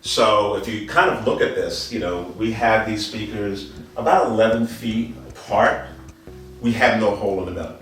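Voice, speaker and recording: A man giving an informal product presentation as a dealer, speaking in a deliberate, halting way with repeated phrases. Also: lowish voice, fairly bright tone. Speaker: audio dealer presenting loudspeakers. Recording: roomy, distant mic, faint music behind.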